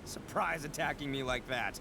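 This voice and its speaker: An actor playing a brash, boastful horned oni gang boss in a video game, with loud, theatrical, goofy delivery. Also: male voice, mid-range voice, normal speed, vocal fry, background noise, natural voice. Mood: cocky.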